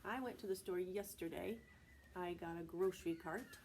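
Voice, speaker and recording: A woman preschool teacher reading aloud to young children in a deliberate, child-directed way, often asking prompting questions. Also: lowish voice, a little mellow, slight background noise. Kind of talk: read-aloud and sing-song circle time for young children.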